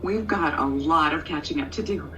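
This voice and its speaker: A woman's voice: low voice, normal speed, fairly bright tone. A woman playing a tender, middle-aged mother on a phone call in an audio drama, hesitant and motherly. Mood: eager, hesitant. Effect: phone line. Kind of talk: naturalistic audio drama acting. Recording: distant muffled phone, faint noise.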